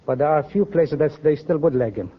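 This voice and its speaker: A man's voice: a little high, quick pace, very noisy. A man with a Persian accent giving polite, formal answers as a contestant on a radio quiz show. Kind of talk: polite interview answers and anecdotes. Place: radio studio stage with audience. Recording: muffled vintage radio broadcast recording.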